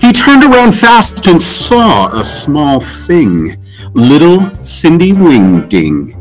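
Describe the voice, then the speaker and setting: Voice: medium pitch, wildly swooping pitch, takes it slowly, soft background music, rough recording. A person reading a rhyming story aloud in a theatrical, dramatic way. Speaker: story reader.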